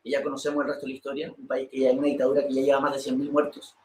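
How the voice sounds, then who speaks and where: A man's voice: medium pitch, monotone, very fast, darkish tone, slight background noise. A man presenting a political interview show as host, reading from prepared notes. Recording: roomy, echoey room sound.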